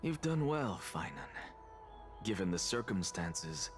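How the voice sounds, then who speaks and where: An actor playing a composed, aloof scholar in a video game, speaking in a formal, theatrical and calculating way. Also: male voice, sits low, big pitch swings, unhurried, faint music behind. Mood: formal, probing. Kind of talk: dramatic video game acting.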